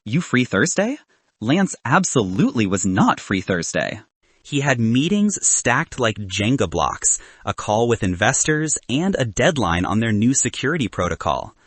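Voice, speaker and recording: Male narrator for contemporary fiction. Husky, wry and animated, recorded dry. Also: medium pitch, normal speed, no echo. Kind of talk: scripted audiobook narration.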